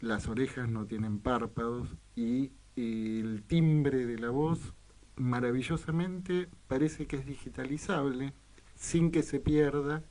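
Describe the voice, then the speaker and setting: A man co-hosting a casual radio talk show, speaking reflectively and hesitantly with many pauses and fillers. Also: mid-range voice, dark tone, low-quality recording.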